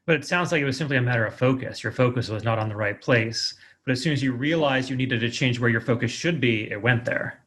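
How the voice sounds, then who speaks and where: A man's voice: medium pitch, fast, fairly bright tone. A man hosting a podcast interview in an affirming, effusive, conversational way.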